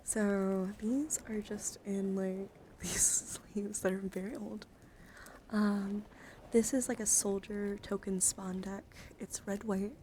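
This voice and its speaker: A woman livestreamer talking in a casual, rambling ASMR style, with a soft voice that often slips toward a breathy whisper, close to the microphone. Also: mid-range voice, flat delivery, slow, a little hiss or hum. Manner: rambling, informal. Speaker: livestreamer showing card decks.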